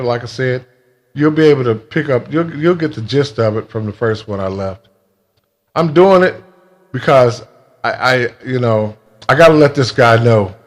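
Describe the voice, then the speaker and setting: A man speaking in a casual monologue, with a halting, blunt and emphatic way of talking. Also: medium pitch. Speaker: video creator telling a personal grievance.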